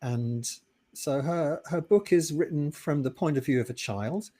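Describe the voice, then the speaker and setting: A middle-aged British man with a British accent, a podcast guest who answers questions like a thoughtful, measured storyteller. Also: fairly high pitch, mellow. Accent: British English. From Britain. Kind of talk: conversational interview answers.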